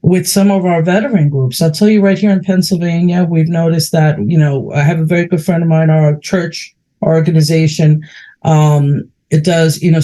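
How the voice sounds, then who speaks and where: A man's voice: a little high, dark tone. A man from New York with a New York accent, interviewing a guest in a chatty, folksy, heartfelt way. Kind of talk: casual interview chat.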